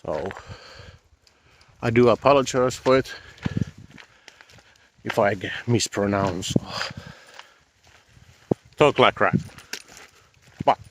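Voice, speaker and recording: Finnish-accented man with a low voice, walking outdoors. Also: slight background noise. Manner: halting, self-deprecating, wry asides. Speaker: vlogger walking his dogs. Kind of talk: casual walk-and-talk narration. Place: outdoors on a boardwalk through a swamp. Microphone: handheld camera mic.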